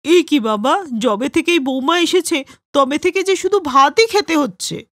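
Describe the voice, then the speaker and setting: A woman's voice: high-pitched, expressive, unhurried, no echo, studio quality. A woman voicing a grumbling, scornful older mother-in-law in a cartoon story. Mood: complaining. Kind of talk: cartoon voice acting.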